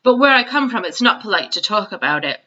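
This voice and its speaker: A woman's voice: mid-range voice, big pitch swings, fast, bright, forceful tone, highs cut off. A woman voicing a polite, inquisitive young girl from a storybook in a matter-of-fact way. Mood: explaining, slightly embarrassed.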